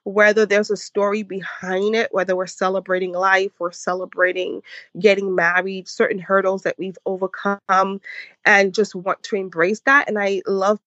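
A woman's voice: medium pitch, level tone, moderate pace, bright, forceful tone, no echo, lo-fi sound. A woman in her forties with a Haitian accent, a guest in a casual interview, reflective and often restarting her sentences. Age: 40s. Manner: reflective, with many restarts and laughs. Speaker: podcast guest.

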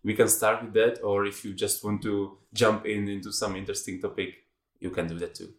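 A man's voice: lowish voice, level tone, fairly quick, echoey room. A man from the Czech Republic with a Czech accent, a native Czech speaker, introducing a podcast as its host.

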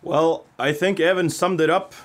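A man's voice: a little high, steady tone, normal speed, lightly acted. A man from Norway playing a sensitive young rock band member in an amateur radio play, earnest and confessional. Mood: apologetic, earnest.

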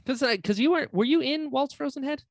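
A man hosting a casual interview chat, enthusiastic and quick to agree and encourage. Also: extremely high pitch, creaky, no echo, clean studio sound. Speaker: podcast host.

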